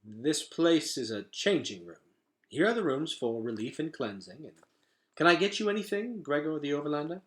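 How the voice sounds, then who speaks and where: A man's voice: fairly high pitch, big pitch swings, normal speed, pitched above the performer's own voice. A man voicing a nervous, courteous male palace guard in a storybook, speaking formally.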